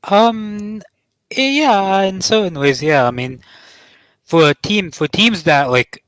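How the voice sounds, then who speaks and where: A man's voice: sits high, slow pace, fairly bright tone, smooth, no room sound. A man chatting casually as a football panelist in an informal, slangy way. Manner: informal, slangy, peppered with 'bro'. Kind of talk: casual chat.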